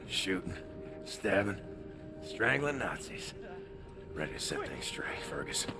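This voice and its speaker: An actor playing a grim, battle-scarred male soldier who speaks in low, terse lines in a video game, over loud music. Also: very animated, measured pace, full character voice.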